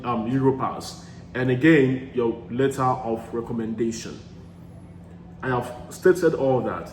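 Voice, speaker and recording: Earnest man, echoey room. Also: medium pitch. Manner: emphatic, repeats key phrases for stress; frequent restarts and fillers, earnest. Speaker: study-abroad advice vlogger. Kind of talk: casual advice talk to camera. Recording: echoey room with faint background noise.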